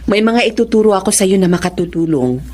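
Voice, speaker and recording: A woman playing a caring, composed psychiatrist and mother in a Filipino radio drama, measured and reassuring, slipping into English. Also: medium pitch, expressive, a little mellow, a little hiss or hum, close to an everyday voice. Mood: calm, reassuring.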